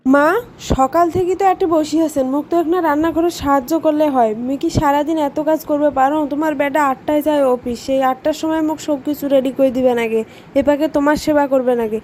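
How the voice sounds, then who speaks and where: A woman's voice: high-pitched, fairly even intonation, quick pace, darkish tone, a little hiss or hum, natural voice. A woman playing a young daughter-in-law in a family cartoon, speaking with a Rangpuri accent from northern Bangladesh. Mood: indignant, complaining. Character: young daughter-in-law, outwardly dutiful then defiant and complaining. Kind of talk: comic family drama cartoon dialogue.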